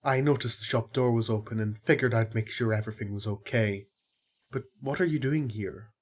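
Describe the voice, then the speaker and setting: A man playing a friendly, concerned tavern worker in an audio drama, with a husky voice and a casual, earnest manner. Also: medium pitch, fairly quick, natural voice. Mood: casual, curious. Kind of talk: audio roleplay acting.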